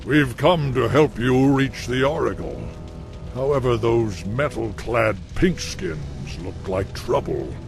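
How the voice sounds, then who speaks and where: An actor voicing a huge, wise old beast-man chieftain in a game cinematic, grave and stately with a rumbling voice. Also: lively intonation, vocal fry, over music. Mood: solemn, resolute. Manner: solemn, deliberate, stately. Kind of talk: video game cinematic acting.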